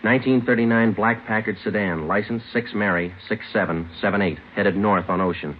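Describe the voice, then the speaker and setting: An American actor playing a stoic police detective sergeant, matter-of-fact and terse, in the clipped style of old radio drama. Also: male voice, lowish voice, steady tone, a little fast, background noise, natural voice. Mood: businesslike. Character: stoic, businesslike male police detective sergeant who narrates. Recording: tinny old radio broadcast, music behind.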